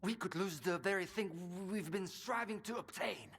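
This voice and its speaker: An actor playing an earnest, grandiose scientist in a video game, speaking in lofty, pleading phrases. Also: male voice, sits high, moderate pace, vocal fry, fairly bright tone, husky, big-room sound, full character voice. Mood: anxious, pleading. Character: grandiose, anxious male scientist, visionary head of an institute.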